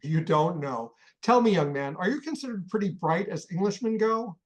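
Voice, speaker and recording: Actor playing a bossy, irritable old American millionaire. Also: male voice, sits high, animated. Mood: scolding. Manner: barking, scolding, rapid-fire orders. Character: irascible older American millionaire, bossy and impatient. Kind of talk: radio play acting.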